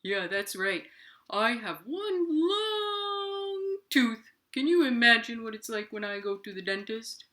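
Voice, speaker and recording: A woman's voice: a little high, big pitch swings, takes it slowly, sharp tone, pitched above the performer's own voice, full character voice. A woman voicing a friendly, chatty narwhal puppet in a children's puppet show, proud of its horn.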